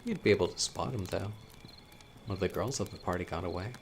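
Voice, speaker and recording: A man playing a young newcomer telling eerie local legends by a campfire in a horror audio roleplay, conversational and teasing with dramatic pauses. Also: deep voice, vocal fry, a little hiss or hum. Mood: casual, intrigued. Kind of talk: casual spoken storytelling in horror audio roleplay.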